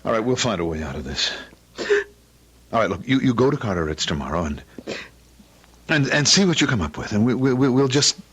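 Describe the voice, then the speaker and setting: An actor playing an anxious, hard-up husband in old-time radio drama style, urgent and exasperated yet tender. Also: male voice, mid-range voice, big pitch swings, fairly quick, long pauses, a bit bright, husky, muffled recording. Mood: weary, reasoning.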